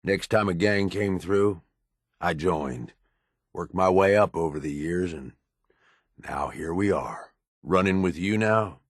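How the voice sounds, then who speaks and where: An actor playing a cynical, gruff middle-aged raider in a video game, with an American accent and a foul-mouthed, streetwise, sardonic delivery. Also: male voice, low-pitched, slow pace, no room sound, studio quality, full character voice. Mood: reflective. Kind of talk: video game character dialogue.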